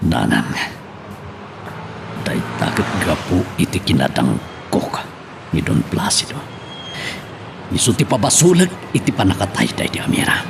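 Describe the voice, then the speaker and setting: A man's voice: low-pitched, huge pitch swings, a little slow, vocal fry, long pauses, noisy background, heavily acted. A man with an Ilocano accent playing an intense, bitter man with a grudge in a radio drama.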